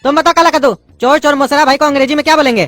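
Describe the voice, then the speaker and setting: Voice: high-pitched, fairly even intonation, racing, a bit bright, no echo, faint music behind. A voice actor playing an irritable male English teacher, scolding his class in an exasperated tone.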